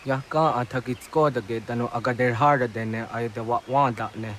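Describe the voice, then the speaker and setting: An earnest young villager in a video game, played by a man. His voice is mid-pitched, and faint outdoor sound sits behind it. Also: steady tone, brisk, a bit bright, slight background noise, lightly acted. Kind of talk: scripted video game cutscene acting. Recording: faint outdoor nature ambience.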